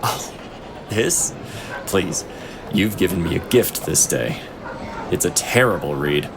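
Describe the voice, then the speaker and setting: A man playing a strong, kindly, easygoing elite guardian in a fantasy audio drama, gently insistent and reassuring. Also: low voice, animated, slow pace, gravelly, full character voice. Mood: friendly concern. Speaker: voice actor. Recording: noisy outdoor city ambience behind.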